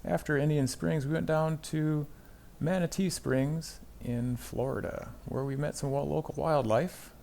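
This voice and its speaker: A man's voice: on the high side, fairly even intonation, measured pace, gravelly, darkish tone. A middle-aged man from the United States narrating a travel vlog about a road trip in an easygoing, lightly wry way.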